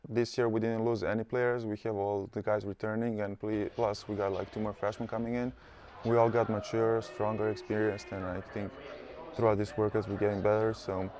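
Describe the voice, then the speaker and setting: A man's voice: fairly low pitch, a little mellow, clean tone. A young man from Serbia with a Serbian accent, answering interview questions casually with many fillers in a studio. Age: young adult. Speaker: college basketball player, guest. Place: studio set.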